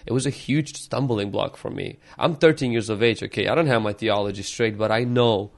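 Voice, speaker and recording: A man's voice: lowish voice, a little fast, creaky. A man from Ukraine, a pastor, who opens with a prayer and then tells his life story in an earnest, reflective way, often restarting his sentences. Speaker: pastor and podcast guest. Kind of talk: opening prayer, then storytelling interview answers.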